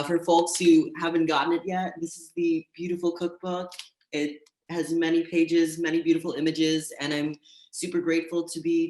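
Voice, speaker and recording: A woman's voice: deep voice, roomy sound. A woman facilitating a live community event, speaking enthusiastically with many ums. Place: street corner outdoors.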